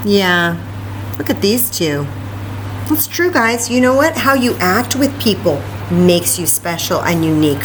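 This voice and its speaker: Female children's story time host, very lively intonation, chatty read-aloud delivery full of questions, captured with clear background noise. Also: medium pitch. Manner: animated, chatty, exclaiming and questioning as if to small children.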